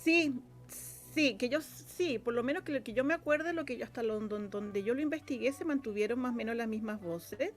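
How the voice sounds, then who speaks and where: A woman with a Chilean accent talking casually as an interview guest, often halting and rephrasing herself. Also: a little high, soft background music. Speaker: guest, radio drama scriptwriter.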